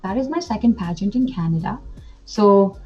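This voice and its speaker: A woman's voice: mid-range voice, lively intonation, normal speed, a little mellow, lo-fi sound, faint music behind. A woman in her twenties who grew up in India, talking in a chatty, enthusiastic way with many fillers and restarts as an interview guest. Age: 20s. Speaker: pageant titleholder guest. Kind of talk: casual interview.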